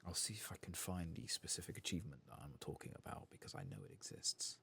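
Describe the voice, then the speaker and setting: A very low-voiced man hosts a game playthrough in a soft, ASMR style that slips into whispering. His voice crackles with fry and moves freely up and down as he mutters his thoughts aloud. There is faint noise behind him. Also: very animated, fast talker. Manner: hesitant, muttering to himself as he thinks aloud. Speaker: host of a video game playthrough channel.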